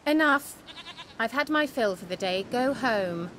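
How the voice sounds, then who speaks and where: Game witch: haughty, curt, fairly high and very varied in pitch. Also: animated, unhurried, fairly bright tone, a little hiss or hum, poor audio. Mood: imperious, impatient. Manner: imperious, curt. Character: haughty, impatient young village witch. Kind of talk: video game voice acting.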